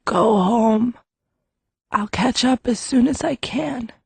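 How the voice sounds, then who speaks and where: A woman's voice: fairly high pitch, slow, gravelly, breathy edge, no room sound, full character voice. A woman playing an injured, stubborn teenage girl mage in an audiobook, blunt and no-nonsense. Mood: firm. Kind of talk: full-cast audiobook acting.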